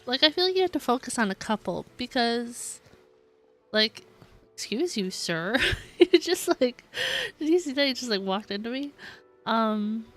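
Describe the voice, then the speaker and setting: A woman's voice: a little high, very animated, normal speed, fairly bright tone. Female streamer, casual and chatty, rambling live gameplay talk with lots of "like", light music underneath. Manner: rambling, giggly, hedging with frequent "like". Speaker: game streamer. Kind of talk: casual live-stream chat while playing a game. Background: game music.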